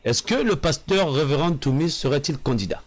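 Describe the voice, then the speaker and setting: Guy hosting an interview, lively up and down voice, wordy roundabout questions. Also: a little high, moderate pace. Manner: wordy, circling and rephrasing questions. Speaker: interviewer, show host. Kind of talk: political interview.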